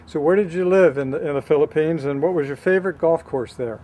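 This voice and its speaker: A man interviewing a student, asking measured, polite questions outdoors. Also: fairly high pitch, warm tone, slight background noise.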